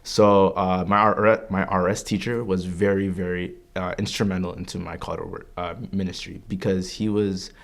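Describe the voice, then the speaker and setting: A man's voice: deep voice, level tone, moderate pace, gravelly, hardly any pauses. A man in his early twenties from Canada, a religious studies student giving an earnest, reflective personal testimony with frequent fillers and restarts. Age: 20s.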